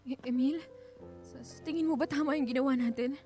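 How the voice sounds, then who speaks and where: Actress as remorseful wife, high-pitched, Filipino radio drama, anxious, hesitant, light music behind. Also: female voice, a little fast, warm tone, lightly acted. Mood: uneasy. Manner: tearful, hesitant, repeats words. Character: remorseful, anxious young wife pleading for forgiveness.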